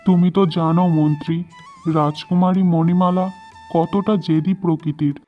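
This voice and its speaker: A man's voice: a little high, fairly even intonation, a little slow, lo-fi sound, natural voice. A man playing a proud, doting king and father in a fairy-tale cartoon, speaking formally. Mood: commanding. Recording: music under the voice.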